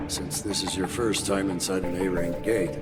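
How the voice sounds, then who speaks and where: An actor playing an easygoing, reassuring senior worker in a fantasy game, giving casual instructions. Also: male voice, low-pitched, little pitch movement, moderate pace, in a large hall, over music, close to an everyday voice. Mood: assured, explaining. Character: easygoing, reassuring male mining team senior at work. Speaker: voice actor playing a supporting character. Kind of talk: English game cutscene acting.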